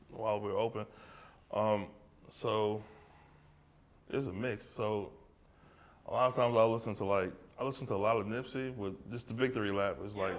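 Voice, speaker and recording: A man with a somewhat low voice speaks casually in an art gallery, fielding questions from the audience. He talks loosely and conversationally, tossing in "right?" and "like" as he works through his thoughts. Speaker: artist discussing his exhibition.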